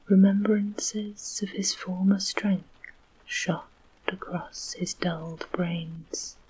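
A woman reading a storybook aloud in a soft, slow whisper. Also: fairly low pitch, slight background noise, low-quality recording. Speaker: narrator.

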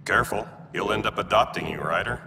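Gruff alien official, super low and gravelly, off in an echoey hall. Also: male voice, fast, far from the mic, noisy background. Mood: dry.

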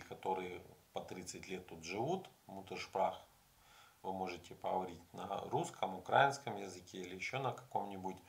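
A man in his forties talks to a camera in a small room about learning a language, relaxed and conversational, filling pauses with hesitation sounds. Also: sits low, creaky. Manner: conversational, frequent hesitation sounds, explaining with examples. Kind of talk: casual advice talk to camera. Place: small room indoors with curtains.

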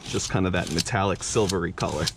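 A man's voice: sits low, fast, vocal fry, noisy background. A young man hosting a fishing channel, casually walking through a hands-on demonstration with frequent "you know" and "uh". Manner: relaxed, fillers like "you know" and "uh". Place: outdoors at a workbench.

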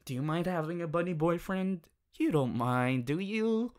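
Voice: mid-range voice, moderate pace, darkish tone, natural voice. A performer playing a playful, anxious young male rabbit hero boyfriend in an ASMR audio roleplay, with a hesitant, giggly manner.

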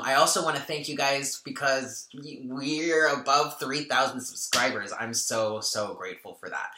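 A man's voice: mid-range voice, pushed and bright. A man in his thirties chatting casually to camera as host of a spiritual channel, laughing often and restarting his sentences. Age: 30s. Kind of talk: casual vlog talk to camera. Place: small bedroom or home room, indoors. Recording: echoey room.

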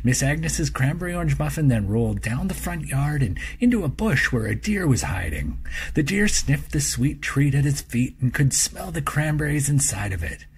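Husky man narrating a children's storybook. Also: on the high side. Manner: expressive, deliberate storytelling. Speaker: author reading own children's story.

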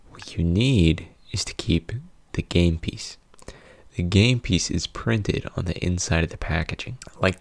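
A young man telling a casual comedic story in a conversational way, with mock-dramatic pauses, close on a studio mic. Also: low-pitched, gravelly, no echo. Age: young adult. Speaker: video host, storyteller. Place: small indoor home studio. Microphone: close studio condenser mic with pop filter.

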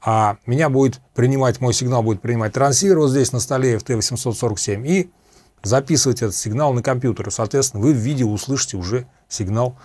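A man's voice: medium pitch, quick pace, a little mellow, husky, dry recording. A middle-aged man presenting a piece of equipment in an explanatory, methodical way, restating and clarifying terms as he goes. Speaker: host reviewing radio equipment. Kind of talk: explanatory product demonstration. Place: small room at a computer desk, indoors.

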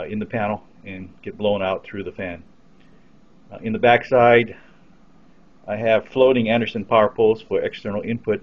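A man with a fairly low voice talks through a piece of equipment in an unscripted, matter-of-fact way. He sounds like a hobbyist giving a practical demonstration. The sound is dull and lo-fi, as from a simple camera microphone. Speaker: amateur radio hobbyist demonstrating his equipment.

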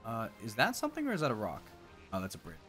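A man's voice: on the low side, huge pitch swings, fast talker, a bit bright. A man in his thirties giving casual, playful gameplay commentary as a streamer, full of exclamations and silly nicknames. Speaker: game streamer, co-host. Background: game music.

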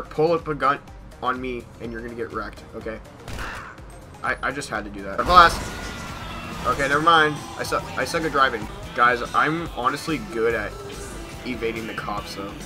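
A young American man giving casual gameplay commentary in an excitable manner, repeating short phrases. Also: mid-range voice. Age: young adult. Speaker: gaming YouTuber. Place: small bedroom at home.

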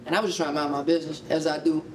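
A man's voice: a little high, level tone, rapid-fire. A young man making casual, playful, mock-boastful remarks to an audience. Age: young adult. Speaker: actor in staged reading. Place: indoor rehearsal hall, on stage. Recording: echoey, distant, audience noise.

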